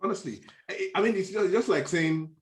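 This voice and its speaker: A man's voice: sits high, a little fast. A man hosting a casual conversational interview, speaking informally and often repeating the start of his sentences. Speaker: podcast host.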